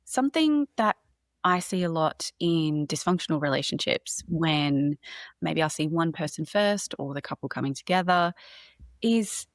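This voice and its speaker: A woman's voice: low-pitched, lively intonation, a little slow, dry recording. Upbeat woman podcast host, dry close sound. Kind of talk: scripted intro then interviewing.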